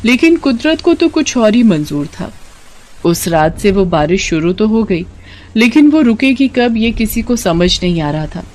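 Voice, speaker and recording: A woman's voice: mid-range voice, sing-song, a little slow, darkish tone, slight background noise. A woman narrator telling a scripted cartoon story in storybook style.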